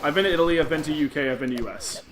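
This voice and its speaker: A young man co-hosting a podcast, joking and bantering while playing a video game. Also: a little high. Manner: joking, quick back-and-forth banter. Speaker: podcast co-host. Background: game music.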